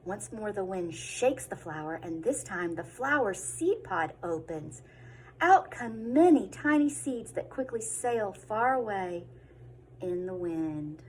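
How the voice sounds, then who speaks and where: A woman with a mid-pitched voice reads a children's story aloud in the manner of a teacher. She leans into dramatic words and drops into hushed asides. Faint noise sits behind her voice. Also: lively intonation, slow pace, poor audio. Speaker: teacher reading a picture book to children.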